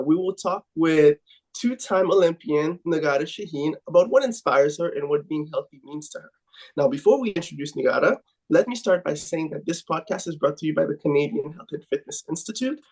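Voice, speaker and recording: A man's voice: on the high side, a little mellow, poor audio. Upbeat male podcast host. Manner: upbeat, reads from a script. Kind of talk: scripted podcast introduction.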